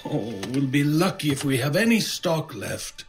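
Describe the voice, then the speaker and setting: A man's voice: fairly high pitch, big pitch swings, a little slow, soft background music. A man playing an exasperated, skeptical older antiques dealer in an old time radio drama, grumbling and dryly sarcastic. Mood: exasperated, wary. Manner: grumbling, dry sarcasm, weary storytelling.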